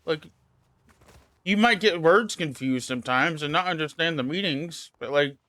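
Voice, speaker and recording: A man's voice: fairly high pitch, moderate pace, bright, forceful tone, no echo. A man streaming live who chats in a laid-back, reassuring way and swears casually while he plays. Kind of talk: casual chat while gaming.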